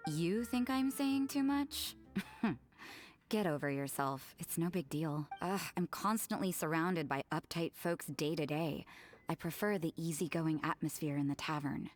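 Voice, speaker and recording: Cool young woman, low voice, laid-back, blunt, game character, faint music behind. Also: a little slow. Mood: nonchalant. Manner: relaxed, matter-of-fact. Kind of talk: anime-style game character acting.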